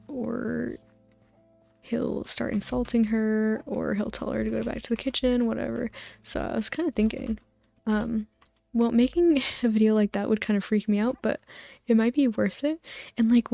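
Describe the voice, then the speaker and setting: Woman, mellow and fairly high, soft-spoken sleep-aid rambler, faint music underneath. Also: gravelly, dry recording. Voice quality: soft voice, drifting into whispers. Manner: soft, meandering, loses her train of thought. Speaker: gaming video host.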